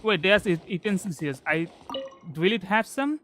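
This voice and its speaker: A man casually commentating a game on a live stream, halting and often repeating his words mid-sentence. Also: high voice, expressive, fairly bright tone, a little hiss or hum.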